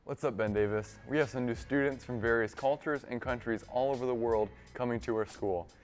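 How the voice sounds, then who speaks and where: A man's voice: medium pitch, fairly quick, faint music behind. A teenage boy narrating a school news segment in a plain, read-aloud way. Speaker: student reporter.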